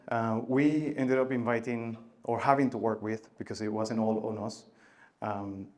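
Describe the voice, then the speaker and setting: Man giving a halting tech talk. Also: fairly low pitch. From Venezuela. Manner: halting, many filler sounds and restarts, loudness rising and falling. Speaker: conference speaker. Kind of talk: conference talk.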